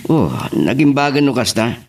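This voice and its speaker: A man's voice: medium pitch, animated, normal speed, darkish tone, close to an everyday voice. A man acting a devoted farmer husband in an audio drama, with an Ilocano Filipino accent. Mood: eager, fond. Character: devoted husband, a farmer, defending his absent wife.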